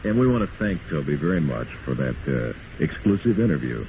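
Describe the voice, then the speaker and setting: A man's voice: extremely low pitch, very animated, a little fast, gravelly. A man hosting a radio interview in a friendly, announcer-like way. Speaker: radio host. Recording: tinny, noisy old radio broadcast.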